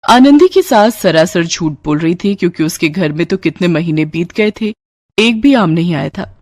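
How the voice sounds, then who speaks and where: A woman's voice: lowish voice, animated, moderate pace, darkish tone, dry recording, studio quality. A woman storyteller reading scripted narration of a devotional moral tale.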